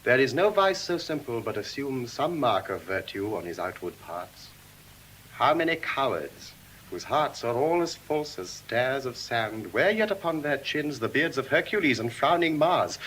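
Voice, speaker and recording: An actor with an English RP accent playing an earnest, romantic young Venetian lord in classical Shakespearean verse, with measured theatrical delivery. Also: male voice, mid-range voice, a bit bright, rough recording. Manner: measured, theatrical, deliberate declamation. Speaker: stage actor. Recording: hissy vintage audio drama recording.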